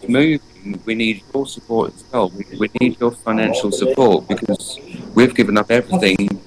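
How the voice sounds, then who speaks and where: A man's voice: lowish voice, moderate pace, crowd noise, poor audio. Earnest rambling man in his forties. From England. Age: 40s. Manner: earnest, rambling, many fillers and restarts. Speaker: guest, energy painter. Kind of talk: casual spoken interview.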